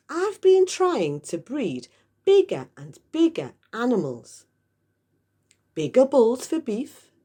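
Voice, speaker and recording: A woman voicing an excitable, easily worked-up farmer father in a children's story, exclaiming in bursts. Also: a little high, breathy edge, voice pushed up, heavily acted.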